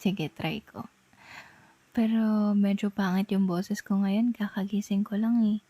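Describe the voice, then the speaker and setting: A young Filipino woman playing a tender, playful girlfriend in an intimate ASMR roleplay, murmuring softly and coaxingly close to the mic. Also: mid-range voice, steady tone, a little slow, dry recording, natural voice. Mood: tender, sleepy. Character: tender, playful girlfriend soothing partner to sleep. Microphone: close microphone.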